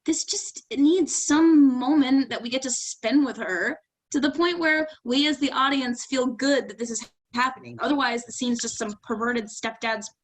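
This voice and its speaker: A woman's voice: high-pitched, moderate pace, poor audio. A woman playing a blunt, dryly sarcastic critic in a staged play reading. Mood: blunt, critical. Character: blunt, sardonic woman critiquing a would-be writer's work. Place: remote virtual staged reading.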